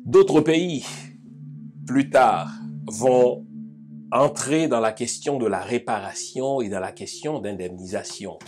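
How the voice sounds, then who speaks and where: A man's voice: mid-range voice, slow pace, a little mellow, faint music behind. A man hosting a talk show, delivering an emphatic monologue full of rhetorical questions and asides. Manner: emphatic, rhetorical questions, frequent "n'est-ce pas". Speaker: host.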